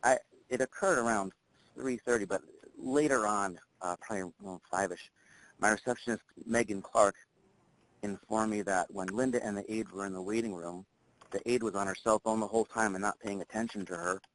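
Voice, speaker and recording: Man acting middle-aged male dentist, American accent, lowish voice, hesitant, matter-of-fact, phone witness report, training role-play. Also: steady tone, fairly quick, a bit bright, close to an everyday voice, poor audio. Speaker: caller reporting an incident.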